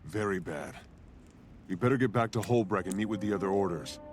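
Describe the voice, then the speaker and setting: Man playing a deep-voiced, steady warrior hero. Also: fairly quick, close to an everyday voice. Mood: serious. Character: big, steady norn warrior hero, plain-spoken. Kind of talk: fantasy video game voice acting. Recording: game music behind the voice.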